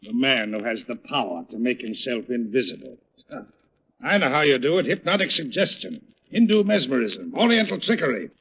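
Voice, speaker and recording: An actor playing a ruthless, bullying swindler with hard, clipped delivery in an old radio crime drama. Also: male voice, mid-range voice, big pitch swings, normal speed, breathy edge. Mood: defiant, threatening. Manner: hard, clipped commands, defiant. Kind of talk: 1930s-40s radio acting. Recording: muffled, narrow-band old radio recording.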